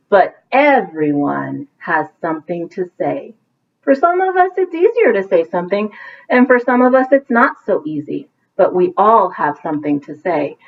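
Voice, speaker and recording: A woman reading a picture book aloud to children like a teacher, with encouraging asides that explain things simply. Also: mid-range voice. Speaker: teacher reading a bedtime story. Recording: thin, phone-like narrowband sound.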